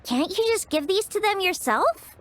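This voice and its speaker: A woman's voice: extremely high pitch, animated, normal speed, fairly bright tone, a little hiss or hum. A woman voicing a cheerful young woman cook in a video game, chatty and eager. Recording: game audio with music behind.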